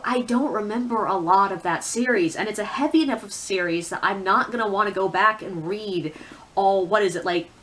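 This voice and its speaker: A woman's voice: mid-range voice, a bit bright. A woman chatting informally and giving her opinions as a book reviewer in a vlog, with casual swearing.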